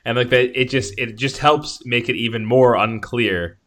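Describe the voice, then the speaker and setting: A man chatting casually as a podcast co-host about film, halting and rephrasing as he goes. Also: mid-range voice, fairly bright tone. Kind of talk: casual film discussion chat.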